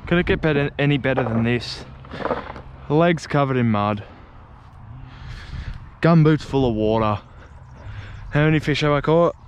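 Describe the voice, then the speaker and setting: A young Australian man talks slowly and casually, pausing often as he goes. His pitch sits in the middle range. He is recorded outdoors on an action camera, with faint noise behind him. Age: young adult. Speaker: fishing vlogger. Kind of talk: casual outdoor vlog narration. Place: outdoors on a grassy riverbank. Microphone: body-worn or handheld action camera.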